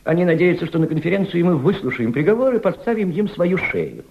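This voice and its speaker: An actor playing an impassioned senior Soviet diplomat in a radio drama, mentoring a young subordinate with emphatic, didactic rhetoric and deliberate repetition. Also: male voice, high-pitched, sing-song, vocal fry, highs cut off, slight background noise, full character voice. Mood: grave, persuasive. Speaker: actor in an audio play.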